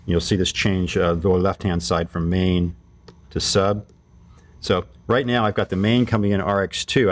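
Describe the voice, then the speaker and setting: A man giving an informal hands-on product demonstration, explaining things step by step and thinking aloud as he goes. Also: deep voice. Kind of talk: casual hands-on product demo.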